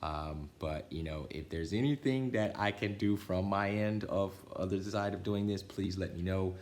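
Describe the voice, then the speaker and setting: A man's voice: sits low, moderate pace, a little mellow, echoey room, slight background noise. A man reading a children's storybook aloud as a storytime narrator, with some casual chat to listeners. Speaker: storytime reader.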